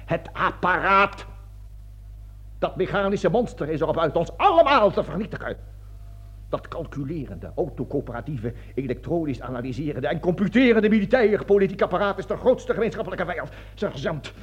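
Blustering, cowardly colonel, crisply spoken. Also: male voice, fairly high pitch. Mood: urgent, conspiratorial. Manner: blustering, stammering, pleading, repeating himself. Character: panicking, cowardly, self-justifying male army colonel. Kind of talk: theatrical radio drama acting. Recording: dull lo-fi old radio recording.

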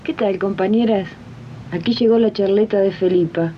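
A woman's voice: moderate pace, soft background music, natural voice. A woman playing a spirited, supportive domestic worker in a 1980s community radio drama, chatty and emphatic, with an Argentine accent. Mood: chatty, confiding. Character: spirited working-class domestic worker, supportive union friend. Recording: muffled, rumbly 1980s archive radio recording.

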